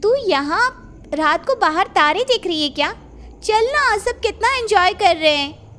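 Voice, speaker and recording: A woman's voice: extremely high pitch, lively intonation, a little slow, a bit bright, heavily acted. A woman playing an emotional young college student in a cartoon story. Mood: playful. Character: Kanishka and friends, emotional young college women.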